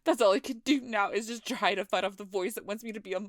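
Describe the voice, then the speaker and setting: A woman playing a wounded, protective but gruff female werewolf knight in an intimate audio roleplay, husky and halting, often dropping into a whisper. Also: mid-range voice, no room sound, studio quality, lightly acted. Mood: desperate, pleading. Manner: halting, strained delivery with sighs.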